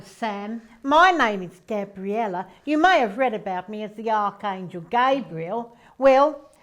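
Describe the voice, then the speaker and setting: A woman acting a serene, self-important female archangel in a comic play, with deliberate, declamatory delivery. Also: fairly high pitch, a bit bright.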